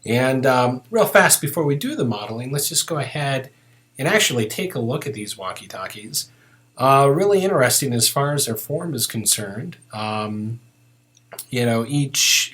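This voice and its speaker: Man narrating a software tutorial, casual and methodical. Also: mid-range voice. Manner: casual, methodical, thinking aloud. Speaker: 3D modeling tutorial instructor.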